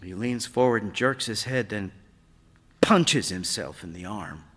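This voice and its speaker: A man reading a literary short story aloud on stage, in a measured, expressive way that brings out the drama. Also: fairly low pitch, breathy edge. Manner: measured, expressive, acts out dialogue. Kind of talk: dramatic literary narration read to an audience. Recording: reverberant hall, live stage reading.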